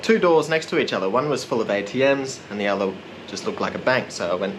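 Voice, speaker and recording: A man narrating a casual vlog in a relaxed, rambling, self-deprecating way. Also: lowish voice, quick pace, noisy background. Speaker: vlogger.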